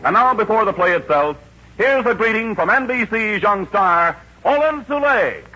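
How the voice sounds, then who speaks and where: Grandiose 1940s male announcer, high and animated, theatrical introductions, old radio sound over music. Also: moderate pace. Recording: dull old radio broadcast. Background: prominent music.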